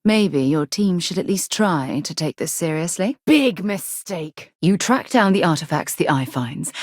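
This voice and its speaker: An actress playing an earnest, principled woman space explorer and leader in a video game, with a crisp, articulate, slightly husky delivery. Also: medium pitch, dry recording, clean studio sound. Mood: calm, warm. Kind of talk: scripted game dialogue.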